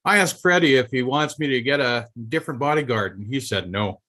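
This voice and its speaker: A man's voice: mid-range voice, fairly quick, sharp tone, natural voice. A man playing a placating, matter-of-fact mob henchman in a live table read of a film script.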